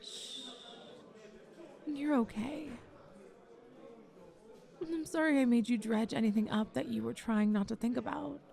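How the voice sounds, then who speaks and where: A woman playing a kind, flirty female bar manager in an ASMR roleplay, gently reassuring and encouraging a nervous job applicant. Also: fairly high pitch, brisk, creaky, hardly any pauses. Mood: tender, soothing. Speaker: voice actor in an audio roleplay. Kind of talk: ASMR roleplay, one-sided conversation.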